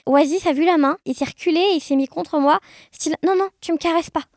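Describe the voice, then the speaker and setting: A woman chatting casually as an interview guest, telling anecdotes in an animated, conversational way. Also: high voice, quick pace, dry recording, clean studio sound. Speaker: podcast guest, blind guide dog user sharing experiences.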